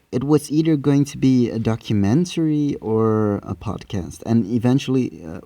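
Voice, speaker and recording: Halting young man with a bright, lowish voice. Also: dry recording. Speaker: podcast maker being interviewed. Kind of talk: prepared interview answers.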